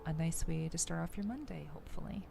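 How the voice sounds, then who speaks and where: A woman hosting a soft-spoken ASMR gaming livestream, chatting casually with viewers and murmuring hesitantly as she thinks aloud, half whispering at times. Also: sits low, fast talker, vocal fry. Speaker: VTuber livestream host. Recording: loud music behind the voice. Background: music.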